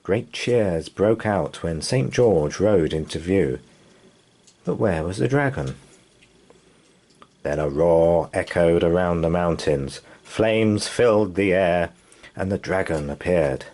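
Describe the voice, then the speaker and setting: A man reading a children's bedtime story aloud in an animated storytelling style. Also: deep voice, slow pace.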